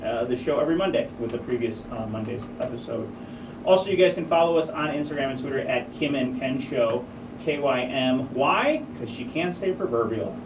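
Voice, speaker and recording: An animated, friendly man with a mid-pitched voice hosts a comedy radio show and fills his talk with "you know"s. He sits back from the boom mic, so the studio sounds echoey. Steady hiss sits underneath. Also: recorded from a distance. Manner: animated, friendly, many fillers. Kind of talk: casual comedic chat. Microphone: studio boom mic with headphones.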